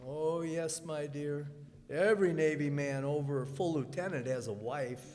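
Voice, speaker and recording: A man's voice: on the high side, normal speed, a little mellow, close to an everyday voice. Polite, flustered Navy commander played by a man. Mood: breezy, courteous. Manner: hesitant, courteous, slightly prim. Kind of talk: old-time radio comedy acting. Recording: reverberant hall stage recording.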